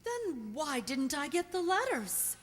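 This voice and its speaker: A high, slow voice from a woman plays a fragile young sweetheart. She is distraught and pleading, in a melodramatic radio-play style. The room adds a long reverb. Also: very animated, heavily acted. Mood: bewildered. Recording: echoey hall.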